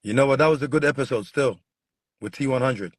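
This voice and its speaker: A man with a husky voice hosting a casual podcast chat, pressing his guests with the same question again and again. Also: mid-range voice, fast, no echo. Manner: animated, repeats questions insistently. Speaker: podcast host.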